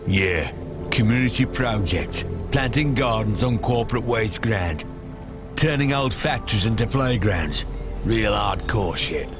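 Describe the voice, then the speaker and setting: A man's voice: on the low side, lively intonation, a little slow. A man voicing a brash but good-hearted android gang member in a video game, talking in laid-back street slang. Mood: laid-back, proud. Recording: music behind the voice.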